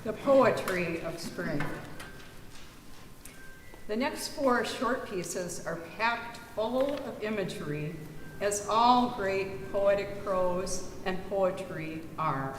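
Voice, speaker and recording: A woman presenting and reading poetry aloud to an audience, with expressive, measured delivery. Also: medium pitch. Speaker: readers theater presenter and poetry reader. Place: reverberant church, live reading. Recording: roomy church hall, clear background noise.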